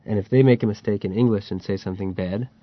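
A man's voice: on the low side, steady tone, quick pace, dull audio. A man hosting a podcast, chatting casually and telling stories with a laugh. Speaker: podcast host. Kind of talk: casual chat about learning Chinese.

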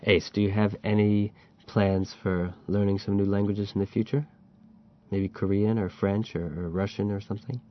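A man's voice: lowish voice, little pitch movement, normal speed, highs cut off, a little hiss or hum. A man hosting a podcast, chatting casually and telling stories with a laugh. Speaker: podcast host. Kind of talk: casual chat about learning Chinese.

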